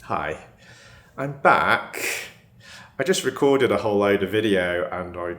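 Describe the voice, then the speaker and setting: Cheshire-accented male vlogger, deep and unhurried, chatty, in a roomy space. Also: expressive, a bit bright, slight background noise. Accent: northern Cheshire English. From England. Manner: chatty, laughs often, crisp T sounds. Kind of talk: casual vlog chat to camera.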